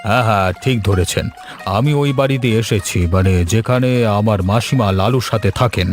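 A man's voice: fairly low pitch, a little fast, soft background music, lightly acted. A man voicing a gluttonous, cheerful houseguest in a cartoon story, polite and chatty in a self-satisfied way. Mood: chatty, eager. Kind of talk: Bengali cartoon story voice acting.

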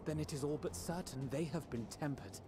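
A man's voice: on the high side, fairly quick, over music, lightly acted. A man playing an earnest, analytical scholar adviser in a fantasy game, formal and articulate. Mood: serious.

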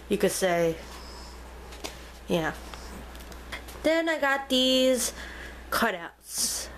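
A woman filming a casual tour of her home and naming each item as she goes, pausing and restarting often. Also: medium pitch, a little hiss or hum, rough recording. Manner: halting, with frequent ums and restarts. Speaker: vlogger giving a home decor tour. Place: inside a home, walking room to room. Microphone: handheld camera held by the speaker.

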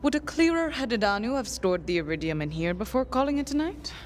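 Scatterbrained young lady scientist from a game, earnest n rambly, pitch all over the place, bit of background noise. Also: sing-song, a little fast. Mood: self-doubting, musing. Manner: rambling, self-questioning. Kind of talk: video game voice acting.

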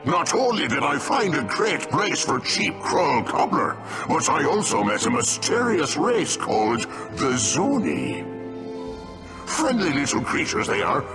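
Slow, menacing pirate captain. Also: male voice, fairly high pitch. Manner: theatrical pirate drawl, grandiose. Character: menacing, miserly undead-bound pirate captain reading his log. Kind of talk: dramatic video game narration.

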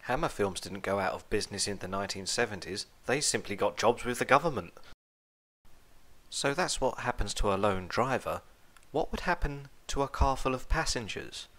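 A man with a fairly low voice delivers scripted review narration. His manner is sardonic, as he presents a comic commentary. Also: normal speed, a bit bright. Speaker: video reviewer.

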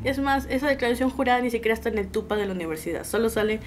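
A woman's voice: on the high side, animated, racing, noisy background. A woman in her thirties with a Peruvian Spanish accent, answering interview questions earnestly, with hesitations, in a room at home. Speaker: interviewee, university graduate.

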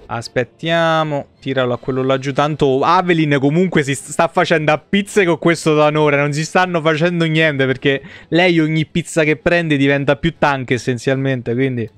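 Male game streamer, thirties, slightly high voice, casual and colloquial, game music underneath. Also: normal speed, few pauses, a bit bright, clear, resonant tone, no echo. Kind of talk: casual live gameplay commentary. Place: small indoor room at a desk.

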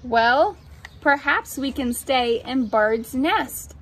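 Woman reading as an eager high-voiced storybook rabbit. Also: expressive, takes it slowly, husky, exaggerated voice. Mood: hopeful. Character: eager, apologetic male rabbit, a carrot hoarder.

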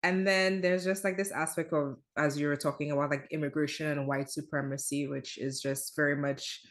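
Female podcast host, deep voice, animated amused storyteller. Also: fairly quick. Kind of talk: casual chat.

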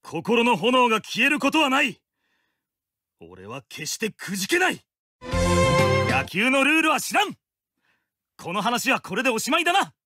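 An actor playing a fervent, honourable young swordsman in an anime-style game, booming and declaiming with resolve. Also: sing-song, normal speed, pauses often, bright, forceful tone, no echo, faint music behind, full character voice. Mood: fervent, resolute. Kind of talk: anime-style mobile game character lines.

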